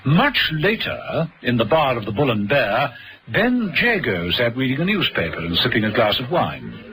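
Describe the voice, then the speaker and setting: A man's voice: mid-range voice, big pitch swings, normal speed, pushed and bright, slight background noise, rough recording. A man reading scripted dramatic narration for a thriller story.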